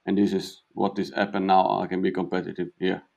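A young Italian man with a slight South African tinge, a pro golfer answering interview questions in halting English that repeats words. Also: low voice, level tone, vocal fry, a little mellow. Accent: Italian, with a touch of South African. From Italy.

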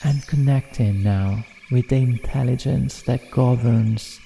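A man narrating a guided meditation in a soothing, measured manner with deliberate pauses. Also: mid-range voice, gravelly, warm tone, a little hiss or hum. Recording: long reverb, New-age music behind. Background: New-age music.

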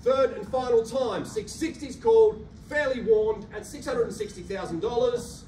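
A man's voice: extremely high pitch, a little mellow. Australian auctioneer man calling bids. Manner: rhythmic rapid-fire bid calling, cajoling buyers. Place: carpeted function room indoors. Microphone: handheld mic, filmed by a far camera. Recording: roomy echo from a distant camera, faint hum.

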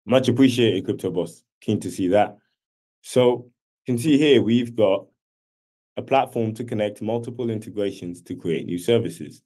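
A man's voice: on the low side, normal speed, warm tone. A man hosting an online class over a video call, explaining things casually and often checking in with "right?". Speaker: host teaching an online class. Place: video call with screen sharing.

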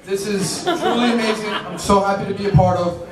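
A man's voice: high-pitched, fairly quick. A man, nervously and informally delivering a wedding toast as best man. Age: 20s. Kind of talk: prepared wedding toast with casual joking asides. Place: large crowded indoor wedding reception venue. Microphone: handheld mic into PA, picked up by distant camera. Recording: echoey hall from far off, loud crowd.

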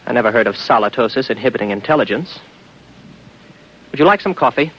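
A man's voice: mid-range voice, expressive, fast talker, fairly bright tone, slight background noise. An actor playing a weary, wry, isolated spaceman who doubts his senses and muses to himself, in 1950s radio drama style. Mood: wry, guarded. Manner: musing, talking to himself. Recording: dull old radio recording with music.

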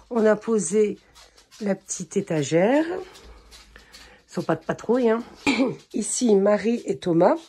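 A woman's voice: mid-range voice, warm tone, husky. A middle-aged woman giving a casual, chatty house tour in an informal way, talking as she moves from room to room. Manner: informal, chatty, talks to her pet in passing. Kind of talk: casual home-tour chat to camera. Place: indoors, walking through house rooms.

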